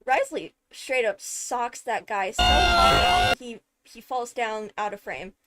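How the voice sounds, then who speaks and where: A woman giving casual, excited video commentary on a game trailer, chatty and thinking aloud with frequent interjections. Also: on the high side, slow pace, rough recording. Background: loud music from the video under commentary.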